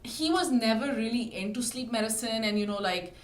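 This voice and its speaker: A woman from Pakistan in her thirties, a physician being interviewed, who explains things in a measured way with many fillers. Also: fairly high pitch, reverberant. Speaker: physician guest. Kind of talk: interview answers. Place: podcast studio.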